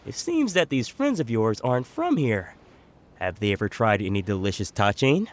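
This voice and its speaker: An actor playing a generous food merchant who laughs and teases often. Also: male voice, lowish voice, big pitch swings, moderate pace. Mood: joking. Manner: hearty, laughs often, teasing. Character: jovial male food merchant, generous and joking. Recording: game audio with music.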